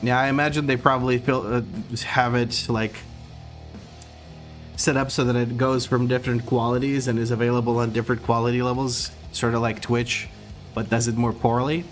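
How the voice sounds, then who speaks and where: A man's voice: mid-range voice, moderate pace, faint music behind. A man from Croatia who sounds American, chatting casually with his stream chat while gaming and reading out messages. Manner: relaxed, rambling, reads chat aloud, stumbles and restarts.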